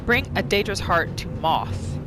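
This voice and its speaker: A woman hosting a gaming video, giving expressive roleplay commentary as she plays. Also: mid-range voice, a little slow, music in the background. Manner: animated, expressive reactions. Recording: voice over noisy game ambience. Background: video game ambience.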